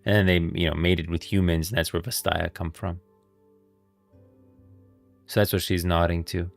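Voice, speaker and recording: A man with a low, creaky voice talks through game lore casually. He explains haltingly, like a streamer thinking aloud. The sound is dry, with music playing behind him. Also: flat delivery. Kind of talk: casual off-the-cuff lore commentary. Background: music in the background.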